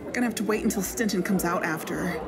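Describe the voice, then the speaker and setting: A woman's voice: on the low side, quick pace, heavy background noise, close to an everyday voice. A woman playing a hesitant, understated but determined investigator in a naturalistic fiction podcast. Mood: tense, hushed. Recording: busy crowd chatter behind.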